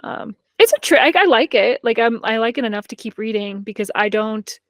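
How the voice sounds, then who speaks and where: A woman's voice: on the high side, lively intonation, fairly quick, a bit bright, no room sound, clean studio sound. A woman co-hosting a podcast in casual, informal chat, her sentences peppered with "like". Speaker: podcast co-host. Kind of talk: casual chat about books and TV.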